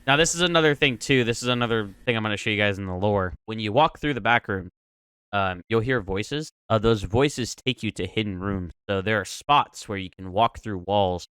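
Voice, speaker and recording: A man's voice: fairly low pitch, moderate pace, a bit bright, smooth, no echo. A man giving casual, slangy, profanity-laced reaction commentary as a YouTuber. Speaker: YouTuber reacting to horror videos.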